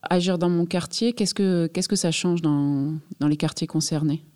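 A woman's voice: on the low side, normal speed, mellow. A woman interviewer asking short, direct questions, heard from farther from the mic.

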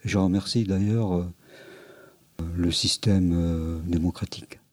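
A man answering an interview question, speaking haltingly and repeating his words. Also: low-pitched, fairly even intonation, darkish tone, no room sound. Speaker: committee member.

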